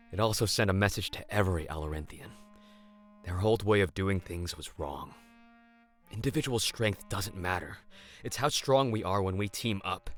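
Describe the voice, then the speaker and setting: A man's voice: on the low side, a bit bright, lightly acted. A man voicing a wry, shrewd male ally who speaks with knowing assurance in a visual novel. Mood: approving. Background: game music.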